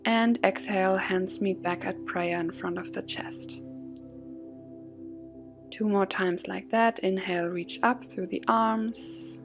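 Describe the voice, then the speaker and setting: A woman guides a yoga and meditation class at a slow, unhurried pace. Her medium-pitched voice has a creaky edge and a soothing, measured delivery. Soft music plays behind her. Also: steady tone. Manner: soothing, gently measured phrasing with breath cues.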